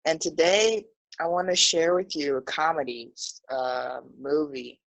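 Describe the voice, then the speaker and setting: Woman in her 30s from brazil, deep voice, slow relaxed pace, chatty with lots of you know. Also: vocal fry, poor audio. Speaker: English teacher. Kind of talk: casual storytelling on a livestream. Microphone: video call.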